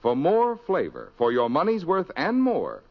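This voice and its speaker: A man's voice: fairly high pitch, huge pitch swings, a little slow, creaky. A man announcing a scripted sponsor message in a salesman-like old-time radio style. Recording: dull old radio recording.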